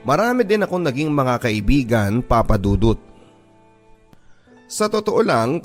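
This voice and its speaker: A man with a Filipino accent narrates a listener's letter as a radio host, in a measured, deliberate storytelling style. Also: medium pitch. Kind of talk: slow dramatic scripted narration of a letter. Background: background music.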